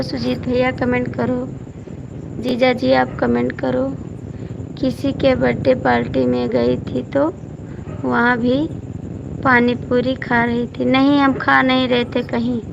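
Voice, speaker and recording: A hoarse woman with a deeply gravelly, fry-laden voice chats slowly and flatly with speech. She reads out comments and keeps nudging viewers by name. The sound is dull and lo-fi, with noticeable street noise and passing vehicles behind her. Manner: chatty, repeatedly coaxing viewers by name to comment. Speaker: folk singer hosting a live stream. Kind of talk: casual live-stream chat reading out viewer comments.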